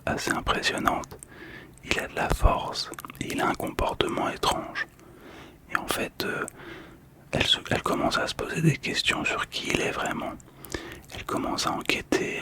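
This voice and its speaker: A man speaking in a soft, breathy whisper, calmly and hesitantly telling a story in ASMR style. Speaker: host of a relaxation video.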